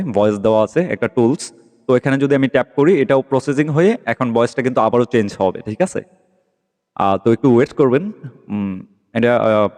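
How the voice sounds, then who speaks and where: A man's voice: medium pitch, darkish tone. A man hosts a casual tech tutorial in an ordinary mid-range voice. He explains patiently and keeps checking that the listener is following. His words carry a long, reverberant tail. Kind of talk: casual app tutorial voice-over.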